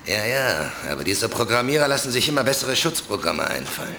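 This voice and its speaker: A man's voice: mid-range voice, sing-song, a little fast, noisy background. An actor playing an eager, cheeky young hacker henchman in a children's radio play. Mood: chatty, smug.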